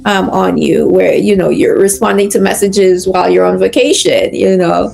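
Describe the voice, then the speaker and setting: A woman in her thirties, a physician, talking through her career in a relaxed interview, pausing with fillers and restarts. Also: medium pitch, vocal fry, soft background music. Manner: reflective, many fillers and restarts. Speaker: physician guest. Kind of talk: conversational interview.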